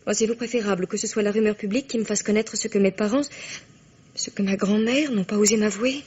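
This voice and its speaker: A woman's voice: medium pitch, fairly even intonation, quick pace, darkish tone, slightly rough, dull audio, natural voice. A young woman playing an earnest, emphatic daughter of twenty-two in a French radio drama. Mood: indignant. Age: young adult.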